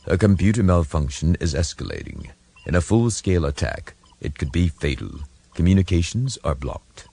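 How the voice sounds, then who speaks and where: A man's voice: sits low, big pitch swings, normal speed, vocal fry, mellow, husky, heavily acted. A man voicing an impassive, logical alien science officer with formal, precise speech.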